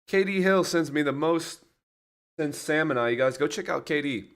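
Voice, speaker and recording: A man's voice: a little high, normal speed. Chatty man hosting a livestream. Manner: chatty, rambling, addresses viewers directly. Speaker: livestream host. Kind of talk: casual livestream chat.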